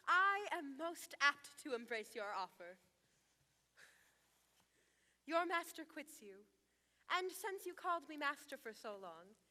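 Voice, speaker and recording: An imperious, lovestruck young nobleman, played by a woman speaks Shakespearean verse. The voice is bright and widely inflected, ringing around a live theatre hall. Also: high-pitched, heavily acted. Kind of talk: Shakespearean stage acting. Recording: echoey hall, live stage.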